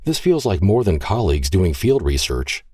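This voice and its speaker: A man's voice: low-pitched, normal speed, husky, dry recording, studio quality, full character voice. A man voicing a curious, teasing young woman who works as a local mountain guide and tells folksy stories. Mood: playful. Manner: lively, playful, folksy storytelling.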